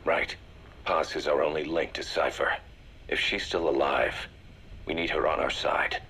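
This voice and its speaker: Very deep, grave military briefer. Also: male voice, little pitch movement, gravelly, pushed and bright, breathy edge, heavily acted. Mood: grave, calculating. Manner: measured, serious. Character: grave, calculating male intelligence briefer for mercenaries. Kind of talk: video game audio drama dialogue.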